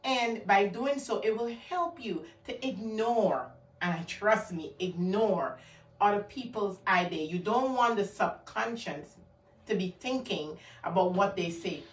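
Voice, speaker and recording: A woman's voice: medium pitch, animated, a little slow, echoey room, far from the mic, rough recording. A woman with a Guyanese accent giving an emphatic motivational talk, repeating her key words for stress. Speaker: motivational vlogger.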